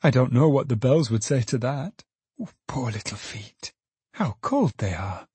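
A man voicing a young woman, a tender, anxious daughter who speaks in a soft, coaxing way. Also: medium pitch, lively intonation, unhurried, slightly rough, dry recording.

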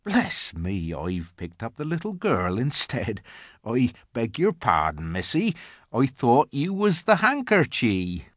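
A man's voice: mid-range voice, wildly swooping pitch, takes it slowly, dry recording, clean studio sound, full character voice. A man voicing a huge, good-natured storybook giant, humble and polite, with rustic, ungrammatical speech. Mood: apologetic.

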